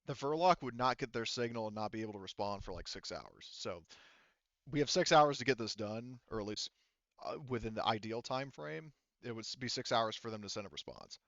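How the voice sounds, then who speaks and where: A man's voice: lowish voice, lively intonation, a little fast, fairly bright tone, dry recording, muffled recording. A man casually narrating a tabletop game as game master, explaining things in a rambling, informal way.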